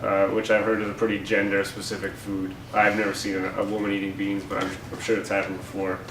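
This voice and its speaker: A young man co-hosting a casual satirical show, joking in a sarcastic, deadpan way. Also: fairly low pitch, fast. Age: young adult. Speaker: co-host of a campus humour paper's show. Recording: roomy, distant mic, faint noise.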